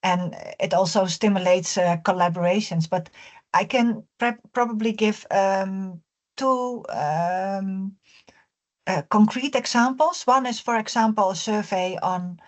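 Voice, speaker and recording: A woman's voice: mid-range voice, measured pace. A woman speaking as a panelist in a discussion, hesitant, with many fillers between words.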